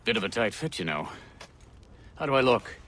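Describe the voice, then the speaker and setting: A man's voice: lowish voice, very animated, normal speed, fairly bright tone, slight background noise. An actor playing an aging, self-important statesman turned rebel, theatrical and wry, in a dramatic video game. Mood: fussy, pleased.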